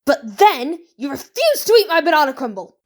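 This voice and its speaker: Indignant, lively older aunt villain played by a woman. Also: sits high, moderate pace. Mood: wounded indignation. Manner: theatrical, indignant. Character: scolding, wounded aunt turned murderer, proud of her cooking. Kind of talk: comic audio drama acting.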